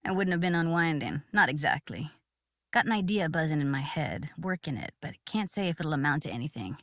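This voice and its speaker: A woman's voice: sits low, lively intonation, a little fast, dry recording, studio quality. A woman playing a blunt, guarded but tender young techie in a video game, speaking in casual, clipped slang with a natural delivery.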